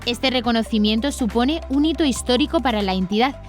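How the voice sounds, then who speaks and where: A female news presenter from reads a prepared script. Her voice sits a little high, and music plays underneath. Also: brisk.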